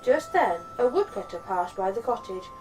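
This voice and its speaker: Animated woman reading a bedtime story. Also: medium pitch, far from the mic, soft background music. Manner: expressive, deliberate, dramatic storytelling. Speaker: children's storyteller and host. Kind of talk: scripted bedtime story narration.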